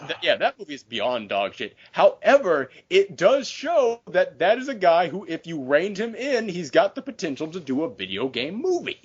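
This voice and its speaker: A man's voice: high-pitched, big pitch swings, normal speed, hardly any pauses, husky, dull audio. A man co-hosting a casual group podcast, talking in an emphatic, opinionated way with heavy swearing. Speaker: podcast co-host.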